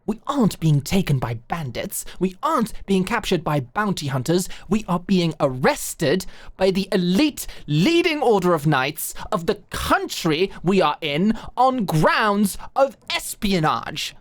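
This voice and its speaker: A woman's voice: on the low side, sing-song, a little slow, few pauses, no echo. Woman acting as exasperated well-spoken young nobleman, dramatically swooping. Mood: exasperated scolding. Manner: formal, lecturing, emphatic. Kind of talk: dramatic audio roleplay acting.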